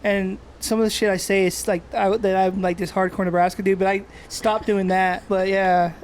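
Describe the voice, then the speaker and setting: A man's voice: high voice, little pitch movement, normal speed, a little hiss or hum. Laid-back man smoking and chatting. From Texas. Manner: laid-back, swearing, rambling with restarts, coughing while smoking. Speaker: podcast co-host. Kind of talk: casual chat. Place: indoors, seated smoking.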